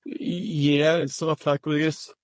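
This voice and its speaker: A man answering interview questions about his company, speaking in halting phrases with frequent restarts. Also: on the high side, level tone, no echo. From Germany. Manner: halting, many restarts and repeated words. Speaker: roofing company manager.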